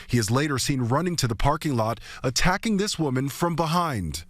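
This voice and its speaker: A man narrating a scripted news report in a measured, even delivery. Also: medium pitch, very noisy. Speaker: news correspondent.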